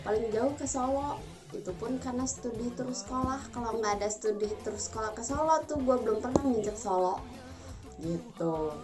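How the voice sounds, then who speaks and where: A woman's voice: fairly high pitch, brisk. A 21-year-old woman from Bandung with a Sundanese Indonesian accent, talking casually and emphatically to her viewers in slangy speech. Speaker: vlogger. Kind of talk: casual talk to camera. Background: music.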